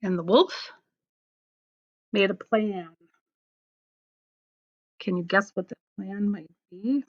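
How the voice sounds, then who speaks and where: A woman teacher reading a storybook aloud to children, in simple phrases with deliberate pauses. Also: mid-range voice, highs cut off. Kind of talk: storybook read-aloud with explanatory asides.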